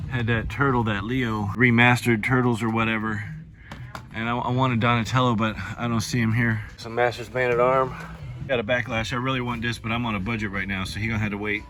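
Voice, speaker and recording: A man's voice: mid-range voice, fairly even intonation, normal speed, fairly bright tone, a little hiss or hum. A man hosting a vlog, talking in a casual, chatty way with slangy, laid-back fan enthusiasm. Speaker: YouTube host reviewing movies and toys.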